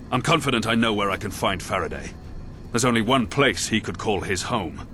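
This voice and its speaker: An actor playing a grim, dutiful military commander in a video game, speaking in an earnest, formal, authoritative way. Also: male voice, fairly low pitch, background noise, natural voice. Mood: resolute. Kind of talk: video game cutscene acting.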